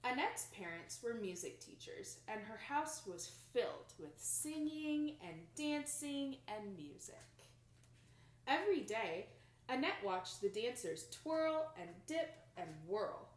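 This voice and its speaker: Young woman doing a kids book read aloud, highish voice, super expressive, kinda echoey home recording. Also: slow pace. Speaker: storybook reader. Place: small plain room indoors.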